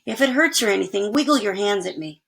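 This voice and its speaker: A woman's voice: medium pitch, animated, quick pace, gravelly, fairly bright tone, breathy edge, full character voice. A woman voicing a tender, eager small boy in a storybook, earnest and emphatic. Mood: excited, proud.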